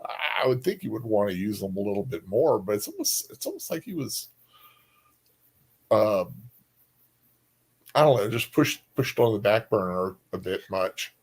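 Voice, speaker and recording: A man chatting casually as a co-host, halting and often searching for his words. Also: lowish voice, big pitch swings, husky. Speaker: livestream co-host. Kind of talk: casual chat.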